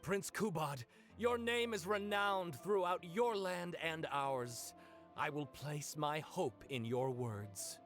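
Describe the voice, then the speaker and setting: A man's voice: a little high, slow pace, a bit bright. A man voicing a defeated but dignified army general, formal and declamatory, in a video game stage play. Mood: pleading, resigned. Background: background music.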